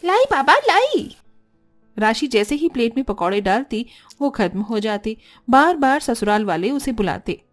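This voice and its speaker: High-voiced woman narrating a story. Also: animated, moderate pace, soft background music. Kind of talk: scripted cartoon story narration.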